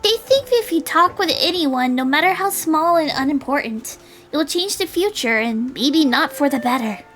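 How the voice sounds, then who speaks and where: A woman's voice: high-pitched, expressive, normal speed, a bit bright, soft background music, voice pushed up. A woman voicing an eager, starry-eyed teenage girl in a visual novel, earnest and gushing. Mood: explaining. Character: eager, starry-eyed seventeen-year-old girl time traveler. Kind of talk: visual novel read aloud.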